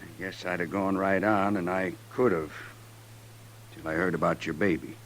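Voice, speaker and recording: A greedy frontier land grabber speaks in a low American voice. His delivery is blunt and deliberate, in old-time radio western drama with faint recording hum. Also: steady tone, fairly bright tone. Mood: grave, confessing.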